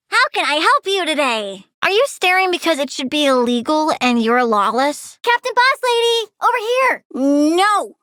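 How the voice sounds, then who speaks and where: Video game villain, a little plant-controlling girl: very high, bright, dry-recorded, perky, bratty, gleefully menacing. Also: big pitch swings, slow pace, dry recording, heavily acted. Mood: sassy, impatient. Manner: perky, precocious, sassy. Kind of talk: video game character voice lines.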